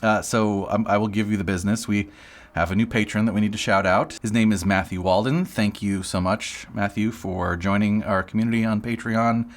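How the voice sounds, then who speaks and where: A man's voice: on the low side, steady tone, fairly quick, slight background noise. A man co-hosting a podcast in casual chat, joking and teasing his co-host as he reads out the show's business.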